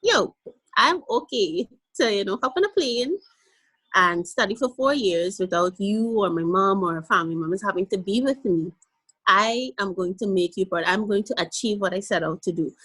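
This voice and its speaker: A young woman from Guyana with a mixed Guyanese and Caribbean accent, talking as a guest in a casual interview in an animated, playful way and laughing easily. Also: medium pitch, normal speed, breathy edge, rough recording.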